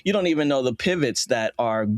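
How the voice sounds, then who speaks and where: An American man with a medium-pitched voice talks as an interview guest. He sounds reflective and conversational, recorded very dry with no room sound. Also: expressive, brisk, no room sound. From United States. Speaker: podcast guest, audio drama creator.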